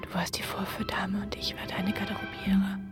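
An actress playing a quiet, tender-hearted young woman prisoner in a radio drama, hesitant and soft-spoken. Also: mid-range voice, flat delivery, normal speed, whispered, loud music behind the voice, close to an everyday voice. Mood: tender, wistful.

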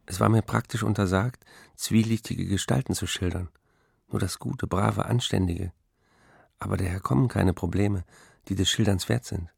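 Radio play novelist: low register, gravelly, defensive, sardonic. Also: male voice, normal speed, no room sound, clean studio sound, full character voice. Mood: bitter reflection. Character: embattled male novelist, defensive, indignant, sardonic. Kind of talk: German radio play monologue, reciting letters and memories.

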